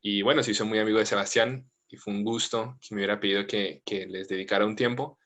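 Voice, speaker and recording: Low-voiced priest, mixed latin american and spanish accent, soft easygoing talk on a video call. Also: male voice, steady tone, fast talker. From United States, family from Colombia. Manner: gentle, informal, with frequent fillers.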